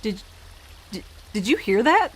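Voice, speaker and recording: A woman's voice: mid-range voice, big pitch swings, unhurried, slight background noise. A woman playing a weary, rambling space scientist in an audio drama, with a hesitant, stammering, wry delivery. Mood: startled. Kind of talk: naturalistic audio drama acting.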